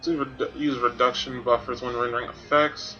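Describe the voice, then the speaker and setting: A man's voice: mid-range voice, steady tone, fairly quick, sharp tone, dull audio. Male game streamer, mid-range voice, offhand casual commentary, music playing behind. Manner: offhand, muttering to himself.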